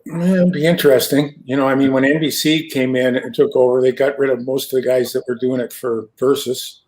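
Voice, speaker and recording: A man's voice: medium pitch, steady tone, brisk, darkish tone, low-quality recording. A man, a hockey broadcaster, chatting casually and rambling with plenty of fillers on a video call. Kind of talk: casual chat.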